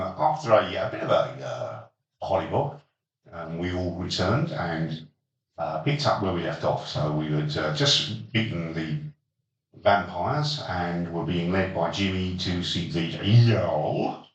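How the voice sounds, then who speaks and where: Man, very deep voice, hesitant podcast host, roomy echo, far from mic. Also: big pitch swings, slow. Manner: hesitant, many ums and uhs, dry jokes. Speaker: podcast host leading the session recap. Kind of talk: casual chat.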